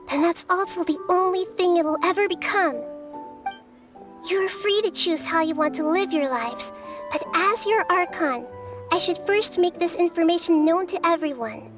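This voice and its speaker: A woman voicing a wise, compassionate young girl deity in a video game, speaking in a measured, soft-spoken, thoughtful way. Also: very high voice. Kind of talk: scripted video game dialogue.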